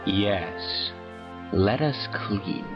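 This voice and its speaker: An actor voicing a cool, formal anime high school prince with a stiff, deadpan delivery, in a parody dub style. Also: extremely slow, heavily acted. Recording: muffled, music behind.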